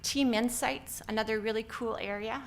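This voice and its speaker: A woman's voice: fairly high pitch, fairly even intonation, normal speed, vocal fry, a little hiss or hum. A middle-aged woman from Canada with a Canadian accent, giving an upbeat conference talk with many filler ums. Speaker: company founder presenting. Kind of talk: conference presentation.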